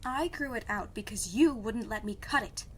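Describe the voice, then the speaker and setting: Woman as a stubborn, sardonic young princess, highish voice. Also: moderate pace, slight background noise, close to an everyday voice. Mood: sarcastic. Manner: dry, defiant, sarcastic. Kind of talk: audio drama podcast acting.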